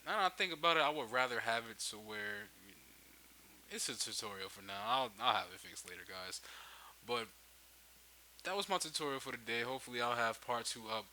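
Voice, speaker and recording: A man giving a casual, unscripted software tutorial, thinking aloud and backtracking as he explains. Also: mid-range voice, animated, fairly quick, low-quality recording. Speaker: tutorial host. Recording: hissy home microphone, noticeable background noise.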